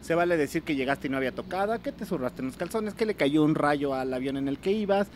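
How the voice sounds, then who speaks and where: A Mexican man with a high-pitched voice hosts a casual interview. He jokes irreverently and asks his questions in loose street slang. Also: lively intonation, fast talker, slight background noise. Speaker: podcast host.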